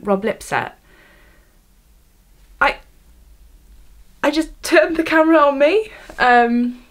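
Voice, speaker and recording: An eighteen-year-old woman with a Welsh accent, talking to a camera on a tripod in her bedroom, nervous and self-deprecating, often stopping and restarting. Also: mid-range voice. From Wales. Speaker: student vlogger. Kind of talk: casual vlog to camera.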